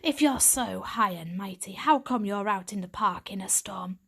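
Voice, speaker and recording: A hard-bitten female street cat, put on by a woman reading a storybook aloud, growls her questions in a low, gravelly whisper. She sounds curt and scornful, all suspicion and no patience. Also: moderate pace, fairly bright tone. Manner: gruff, curt, snapping questions.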